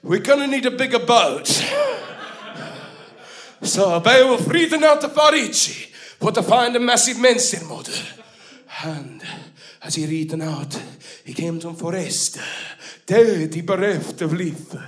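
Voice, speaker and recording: Male comic storyteller, high lively voice, mock-foreign broken English, bursts of shouting. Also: measured pace. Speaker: comedy storyteller. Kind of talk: comic retelling of an epic in broken old-world English. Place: small comedy club stage.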